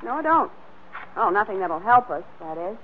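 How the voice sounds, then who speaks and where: A woman's voice: medium pitch, big pitch swings, moderate pace. A woman acting a helpful, hesitant detective's companion in a 1940s radio drama. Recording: thin narrow-band 1940s radio recording.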